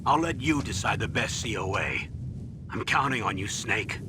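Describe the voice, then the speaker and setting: A man voicing an older male colonel giving measured orders over the radio, in a noisy game cutscene. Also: fairly low pitch, lively intonation, lightly acted. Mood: instructing. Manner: measured, commanding.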